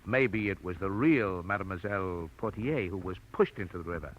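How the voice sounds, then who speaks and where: Guy doing needling newspaper man, american, lowish and wry, old muffled radio drama. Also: moderate pace, lightly acted. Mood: shrewd, accusing. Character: shrewd, needling male newspaperman confronting a suspect. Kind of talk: 1940s radio drama acting. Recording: dull old radio recording, faint noise.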